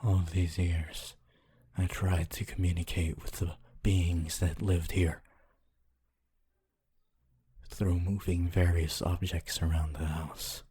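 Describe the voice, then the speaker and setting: A man playing a gentle, lonely, centuries-old ghost of a decaying mansion in a solemn, halting ASMR roleplay. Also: level tone, heavily acted. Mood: wondering, earnest. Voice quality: deep, soft, husky and breathy. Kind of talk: ASMR audio roleplay, slow scripted monologue.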